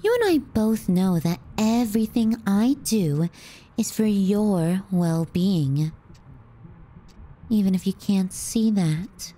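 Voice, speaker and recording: A woman playing a teasing, callous, clingy younger sister in an intimate ASMR roleplay, sardonic and often hushed. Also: medium pitch, slow. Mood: bossy, exasperated. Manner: sardonic, needling, dropping into hushed murmurs.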